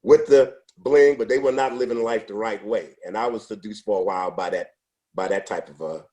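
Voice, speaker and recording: A man in his sixties, a podcast interview guest, telling his life story in an unhurried, reflective way with frequent restarts. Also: lowish voice, dark tone. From United States. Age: 60s. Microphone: podcast microphone.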